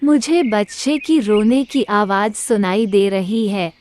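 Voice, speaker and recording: A woman's voice: mid-range voice, a little mellow, pitched below the performer's own voice, natural voice. A woman voicing a curious, caring female crow who is the wife in a cartoon story. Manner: slow, questioning. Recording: music underneath.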